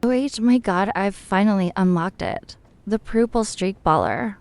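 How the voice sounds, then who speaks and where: A woman's voice: mid-range voice, measured pace, darkish tone. A woman's synthetic-sounding voice reading out stream chat messages with theatrical intonation.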